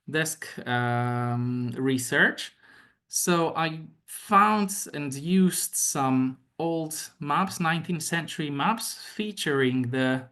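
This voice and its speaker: A young man with a Polish accent, a native Polish speaker, giving an online academic seminar talk with many pauses, fillers and repeated words. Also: mid-range voice, fairly bright tone. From Poland.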